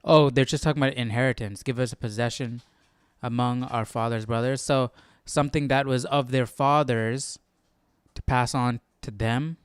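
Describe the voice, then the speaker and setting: A man's voice: medium pitch, fairly even intonation, normal speed, clear, resonant tone, no room sound. A man hosting a Bible study livestream, giving casual commentary and thinking aloud as he goes. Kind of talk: casual read-along commentary.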